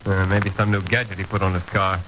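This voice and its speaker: An actor playing a brisk, alert border patrolman with a clipped, matter-of-fact manner, in old radio drama style. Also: low voice, level tone, natural voice. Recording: dull, faintly noisy vintage radio recording.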